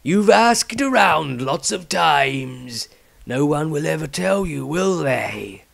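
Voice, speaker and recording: A man voicing a stern old grey-haired wizard patriarch in a fantasy audiobook, speaking in a slow, deliberate way. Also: fairly high pitch, expressive, gravelly, fairly bright tone, full character voice. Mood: knowing, deliberate. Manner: slow, measured, drawn-out delivery.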